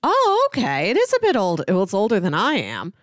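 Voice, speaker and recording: A woman's voice: mid-range voice, wildly swooping pitch, brisk, no echo, studio quality. A young adult woman co-hosting a podcast, giving her opinions in casual but articulate conversation. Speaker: podcast co-host.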